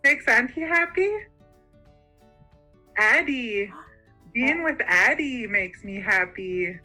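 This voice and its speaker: A woman's voice: fairly high pitch, lively intonation, slow pace, sharp tone, soft background music, low-quality recording. A young woman, speaking as a student teacher presenting and reading aloud. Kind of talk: informal presentation and read-aloud.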